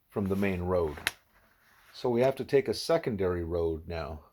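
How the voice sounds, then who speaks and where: A man reads as a wise, patient teacher in a storybook dialogue. The voice is fairly low, deliberate and instructive, guiding a pupil along. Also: darkish tone, a little hiss or hum. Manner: didactic, deliberate.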